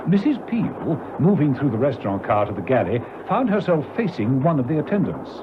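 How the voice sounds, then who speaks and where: A man's voice: mid-range voice, big pitch swings, fairly quick, close to an everyday voice. A man narrating a spy radio serial in an urgent, dramatic storytelling manner. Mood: suspenseful. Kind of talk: scripted suspense radio narration. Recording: muffled old radio, loud train noise.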